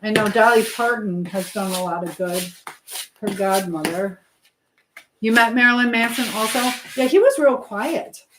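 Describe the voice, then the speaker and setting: A woman's voice: mid-range voice, normal speed. Woman chatting casually over noisy background. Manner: chatty and affectionate with viewers. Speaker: live stream host. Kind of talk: casual live chat, reading viewer comments aloud. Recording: clear background noise with clicking sounds.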